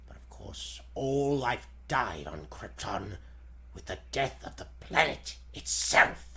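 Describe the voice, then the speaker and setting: Theatrical old scientist, bright-edged voice. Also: male voice, medium pitch, expressive, slow pace, vocal fry, in a whisper, heavily acted. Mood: grave, secretive. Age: older. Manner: theatrical, formal, grandiose. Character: feeble elderly male scientist, excitable and secretive. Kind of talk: 1940s radio serial acting.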